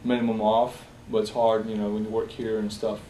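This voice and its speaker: A young man from Bosnia talks in a personal interview, pausing often on fillers and restarting his sentences. His voice is mellow and low-key. He sits at a distance from the mic in an echoey room with a faint hiss behind him. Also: little pitch movement. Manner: halting, many fillers and restarts.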